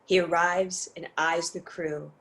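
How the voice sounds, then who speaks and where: A woman narrates a stage script as a storyteller. Her voice sits a little low with plenty of creak, and her delivery is measured and dramatic. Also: flat delivery, a bit bright.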